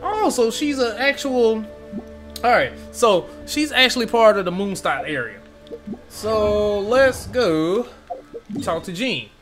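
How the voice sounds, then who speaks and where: A man's voice: high-pitched, animated, slow, fairly bright tone, soft background music. A man streaming a video game, chatting informally and thinking aloud as he plays. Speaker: gaming streamer. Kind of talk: casual gameplay commentary. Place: behind: game music.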